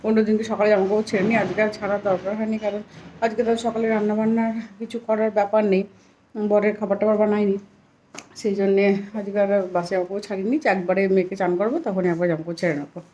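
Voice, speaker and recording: A woman's voice: medium pitch, level tone, rapid-fire, warm tone, lo-fi sound, slight background noise. A young woman, chatting casually as she narrates a daily home vlog. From India, Bengal region. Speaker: vlogger, mother of a small child.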